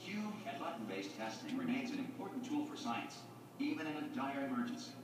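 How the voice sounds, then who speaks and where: A man voicing a calm, pre-recorded facility announcer in a video game, measured and formal. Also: medium pitch, soft background music, lightly acted. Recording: game audio from TV speaker, roomy and distant.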